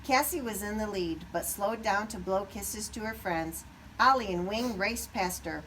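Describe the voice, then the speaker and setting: A woman reading a children's story aloud expressively, pausing at times to put questions to young listeners. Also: medium pitch, fairly bright tone, recorded from a distance, slight background noise, poor audio. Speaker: storybook reader.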